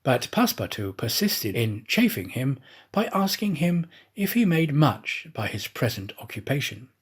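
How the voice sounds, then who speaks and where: A man reading a classic novel aloud as a trained actor, in expressive storybook narration. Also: medium pitch, normal speed, husky. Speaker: audiobook reader, professional actor.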